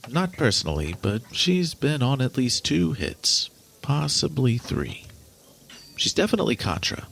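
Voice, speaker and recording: A man's voice: mid-range voice, sing-song, a little slow, creaky, fairly bright tone, slight background noise, full character voice. A man playing a precise, literal, earnest character in an audio drama, with pedantic, exact phrasing. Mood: earnest, matter-of-fact. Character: precise, literal, earnest man named Hastings who cannot lie.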